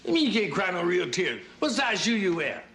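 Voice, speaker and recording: Bossy old sitcom father as self-appointed stage director, high raspy voice, roomy noisy recording. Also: huge pitch swings, brisk, fairly bright tone, full character voice. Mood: bossy, self-satisfied. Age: older. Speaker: amateur play director. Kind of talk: sitcom acting before a studio audience.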